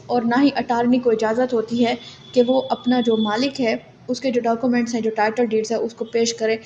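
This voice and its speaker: A woman's voice: fairly high pitch, a little mellow. A woman with a Pakistani accent giving a law lecture, reading out legal text and explaining it in an instructional way. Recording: muffled lo-fi audio with background noise.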